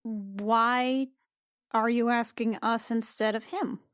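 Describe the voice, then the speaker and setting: A woman playing a tabletop roleplaying game, chatting and roleplaying casually with halting delivery. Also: a little high, fairly even intonation, no room sound, studio quality.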